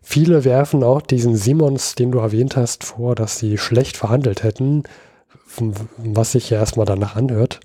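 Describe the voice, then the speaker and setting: A man from Berlin co-hosting a history podcast, explaining at length and halting often with fillers. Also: mid-range voice, dark tone, slightly rough, no room sound, clean studio sound. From Berlin, Germany. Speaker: podcast co-host. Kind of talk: casual history podcast chat.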